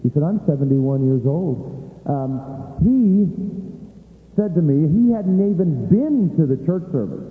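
A man's voice: on the high side, animated, measured pace. A man preaching a sermon with emphatic rhetorical questions, recorded in a church. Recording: echoey hall on a tinny line.

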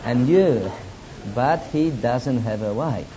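A man from Poland speaking English with a Polish accent as a guest preacher, giving a sermon with personal testimony in deliberate, halting non-native phrasing and wry asides. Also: medium pitch, lively intonation. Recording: dull lo-fi recording with faint hiss.

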